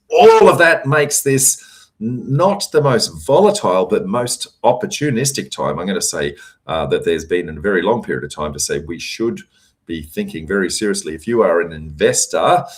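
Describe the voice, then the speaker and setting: A hoarse man answers audience questions, his voice worn as it starts to give out. He talks in a deep, low register. He leans hard on his points, doubling words for stress between frequent ums and uhs. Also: expressive, normal speed. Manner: emphatic, repeats words for stress, frequent fillers. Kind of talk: live Q&A session, casual advice.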